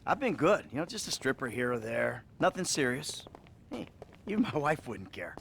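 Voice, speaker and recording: A man's voice: mid-range voice, big pitch swings, normal speed, gravelly, fairly bright tone, slight background noise. An actor playing a troubled middle-aged American criminal confessing to his therapist, hesitant, sighing and sardonic, in a naturalistic video game style. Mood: sheepish.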